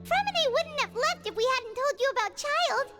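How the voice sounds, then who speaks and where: Very high squeaky little fairy companion. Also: female voice, animated, normal speed, bright, forceful tone, full character voice. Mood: worried. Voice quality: squeaky, childlike. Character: tiny excitable floating fairy-like companion with childlike voice. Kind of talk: animated video game voice acting. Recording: reverberant, music behind.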